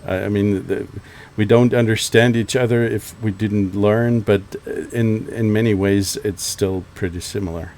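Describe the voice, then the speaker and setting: A German man with a low-pitched voice talks casually over a headset, circling his point with fillers and restarts. Faint background hiss runs under him. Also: steady tone, normal speed, few pauses, a little mellow. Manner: hesitant, many restarts and fillers. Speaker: flight sim video host. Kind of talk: casual chat over radio while flying.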